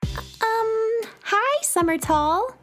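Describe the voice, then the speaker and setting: Earnest high-voiced space girl. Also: animated, drawn-out words, fairly bright tone, faint music behind, exaggerated voice. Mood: friendly. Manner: earnest, innocent. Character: earnest young space cowgirl, kind and polite. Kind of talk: children's radio play acting.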